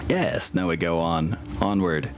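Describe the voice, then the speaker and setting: A man's voice: deep voice, a little slow, soft background music. A man working as game master, narrating a tabletop game informally and thinking aloud as he goes.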